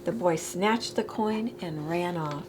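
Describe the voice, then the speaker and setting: A woman reading a children's picture book aloud expressively, with deliberate phrasing, as a storytime reader. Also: fairly low pitch, very noisy.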